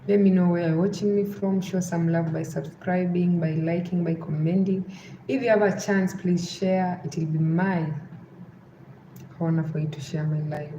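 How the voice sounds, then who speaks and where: A young woman with a Western Kenyan accent hosts a casual livestream, her voice sitting slightly low. She loops back again and again to pleas for likes and subscribers. The sound is roomy and echoey, with clear background noise. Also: fairly even intonation, unhurried. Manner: repeats pleas to subscribe over and over. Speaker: livestream host.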